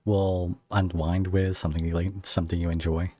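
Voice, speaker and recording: A man with a low voice and a dry, close sound hosts a casual online interview. He is appreciative and complimentary, speaking informally to a guest and a live chat. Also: level tone, fairly quick, warm tone, no room sound. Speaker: podcast host and interviewer. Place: online voice call.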